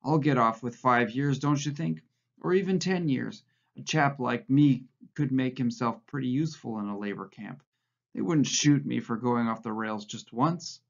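A man's voice: mid-range voice, normal speed, gravelly. A man voicing a frightened, fawning middle-aged male prisoner who pleads eagerly and nearly blubbers. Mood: pleading. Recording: muffled lo-fi recording.

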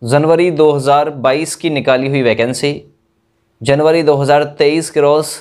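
A young man giving an informal exam news update to camera in an emphatic, colloquial way full of rhetorical questions. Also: on the high side. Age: young adult. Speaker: exam coaching YouTuber.

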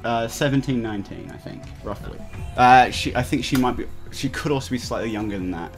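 A man's voice: mid-range voice, a little fast. A man hosting a let's play, reading a visual novel aloud with casual asides and stumbling over the text. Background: game music.

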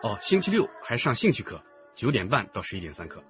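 A man's voice: medium pitch, sing-song, fast, a bit bright, lo-fi sound. An actor playing an affable, talkative male primary school principal in a radio drama. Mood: explanatory. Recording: band-limited radio drama with music behind.

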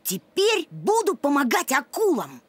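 A woman voicing a cheeky, excitable young boy dog in an animated cartoon, boastful and teasing. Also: high voice, a little slow, heavy background noise, full character voice. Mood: curious, pleased. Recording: music behind the voice.

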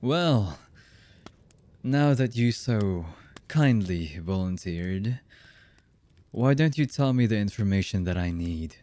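Slow, husky, low-voiced anime villain played by a man. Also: warm tone, dry recording. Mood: mocking menace. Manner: slow, menacing, deliberate with long pauses. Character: heartless young male anime villain, menacing, cold, commanding. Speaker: voice actor. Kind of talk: ASMR roleplay, dramatic villain monologue.

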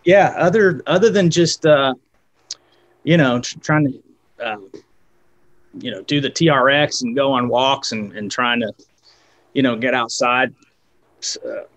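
A man's voice: mid-range voice, a little slow, long pauses, fairly bright tone. A man in his thirties, a guest guitarist, talking casually on a video call with many fillers and restarts.

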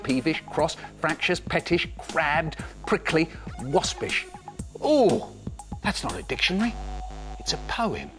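A man hosting a book programme, presenting and putting questions to a panel. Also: mid-range voice. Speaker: host.

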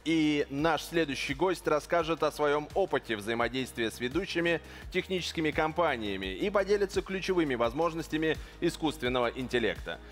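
A man's voice: fairly high pitch, animated, fairly bright tone. Man announcing a speaker, music behind. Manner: formal and measured. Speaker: conference host. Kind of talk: formal stage announcement.

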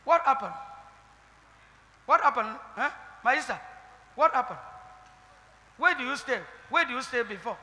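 High, bright-voiced Nigerian preacher shouting decrees. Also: male voice, animated, normal speed, pauses often, a little hiss or hum. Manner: commanding, repeats questions, bursts into shouted decrees. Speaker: prophet leading a deliverance session. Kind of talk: deliverance prayer ministration. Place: large church hall indoors with a seated congregation. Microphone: handheld microphone. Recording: long reverb of a church hall.

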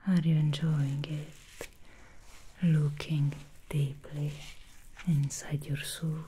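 Teasing female demon hairdresser played by a woman for ASMR, dark mellow whisper, very unhurried. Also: deep voice, level tone, slight background noise. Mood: seductive whisper. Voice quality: soft whispering. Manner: slow, intimate, addresses listener directly. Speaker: ASMR roleplay creator. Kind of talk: ASMR roleplay.

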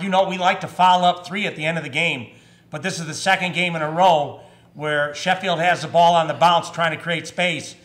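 A man in his fifties, a basketball head coach, answering reporters at a postgame press conference, measured and full of "uh" and restarts. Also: on the high side, a bit bright. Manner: measured, with frequent fillers and restarts. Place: press room in front of a sponsor backdrop. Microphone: table microphone.

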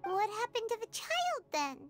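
A woman's voice: extremely high pitch, very animated, normal speed, soft background music. A woman voicing a small, curious fairy girl companion in a video game, chirpy and inquisitive. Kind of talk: game voice acting.

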